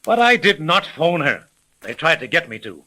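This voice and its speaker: A man's voice: a little high, very animated, moderate pace, bright, forceful tone, low-quality recording. An actor playing an indignant, flustered older businessman and father, protesting emphatically in a 1930s radio drama.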